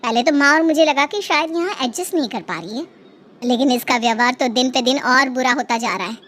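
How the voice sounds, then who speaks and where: Woman acting sister-in-law, aggrieved, confiding, high voice, moral-story drama. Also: fairly quick, fairly bright tone, slight background noise.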